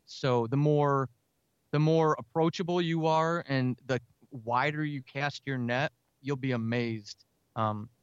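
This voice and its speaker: A talkative, energetic man speaking casually as a guest in an interview about his creative work. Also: medium pitch, slow pace, clean tone, dry recording, dull audio. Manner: energetic, talkative, self-described extrovert. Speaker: audio drama writer and podcaster, interview guest.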